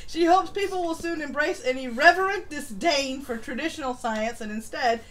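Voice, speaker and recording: High-voiced woman, irreverent and sweary. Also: moderate pace, fairly bright tone. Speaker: co-host of a live stream show. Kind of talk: casual chat, reading articles and memes aloud.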